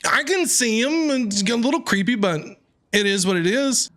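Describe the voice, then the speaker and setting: A woman's voice: fairly high pitch, animated, normal speed, noisy background. A woman streamer giving joking, chatty commentary while playing, talking back to the characters. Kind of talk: let's play commentary.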